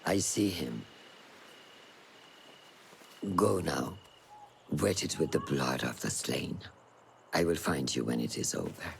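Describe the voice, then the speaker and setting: An actor playing a stern, patient mentor of assassins in a video game, speaking in a measured, solemn way with a gravelly voice. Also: male voice, rumbling low, slight background noise, heavily acted. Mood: calm, instructive. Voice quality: gravelly, rough. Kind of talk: dramatic video game acting.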